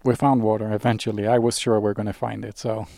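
A calm man from Israel talks about the hike in an easygoing way, giving short and steady answers. His low voice sounds dry and close to the mic. He is recorded outdoors on a clip-on mic. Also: fast talker, gravelly, dry recording. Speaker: hiking partner, cousin. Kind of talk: casual conversation. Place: outdoors at a campsite. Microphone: clip-on mics.

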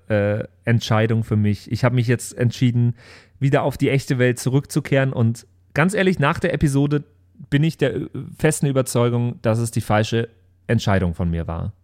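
A man's voice: mid-range voice, normal speed, darkish tone. A man chatting casually and reflectively as he recaps a role-play game with friends, in a rambling way. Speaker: podcast player recapping his tabletop role-play character.

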